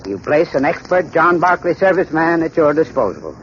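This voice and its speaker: A man's voice: a little high, big pitch swings, a little fast. A man giving a formal, courteous, scripted advertising talk as an expert on 1930s radio. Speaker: sponsor's heating expert. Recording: dull, hissy 1930s radio recording.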